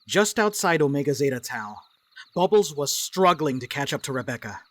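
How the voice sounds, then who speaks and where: A woman's voice: sits low, animated, normal speed, no echo. A woman reading scripted narration and stage directions for a dramatic reading.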